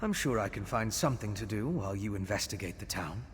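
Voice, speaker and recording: An actor playing a cautious, scholarly gentleman adventurer in a video game, polite, measured and articulate. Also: on the low side, lively intonation, close to an everyday voice. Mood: thoughtful. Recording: long cavernous reverb, faint background noise.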